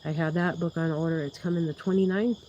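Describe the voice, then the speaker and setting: A woman's voice: deep voice, fairly even intonation, quick pace, mellow, dull audio, a little hiss or hum. A woman hosting a livestream in casual, rambling chat, with a soothing voice, laughing often and losing her train of thought. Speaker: YouTube livestream host.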